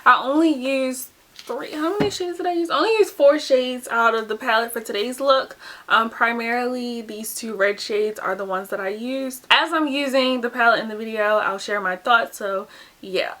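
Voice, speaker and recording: Young woman, slightly high-pitched, chatty and casual, voice a bit groggy and dry, talking close to the camera. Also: bright, forceful tone. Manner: chatty, uses lots of "like" and "um". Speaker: beauty vlogger. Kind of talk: casual makeup tutorial chat.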